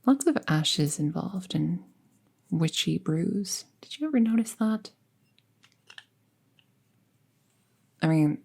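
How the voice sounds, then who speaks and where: A woman playing a friendly apprentice witch in a roleplay audio, speaking softly and breathily, often near a whisper, with an unhurried, casual murmur. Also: sits low, vocal fry, exaggerated voice. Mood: casual, musing. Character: friendly young apprentice witch, soft-spoken and casually chatty. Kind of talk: roleplay audio drama, soft ASMR-like delivery.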